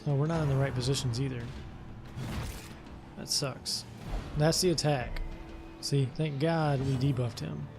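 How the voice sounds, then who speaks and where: A man talks through his gameplay in a casual, thinking-aloud way as the host of a let's play. His voice is mid-pitched with a gravelly, creaky texture, and he often hesitates while he works out his next move. Game music plays clearly behind him. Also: steady tone, a little slow, long pauses.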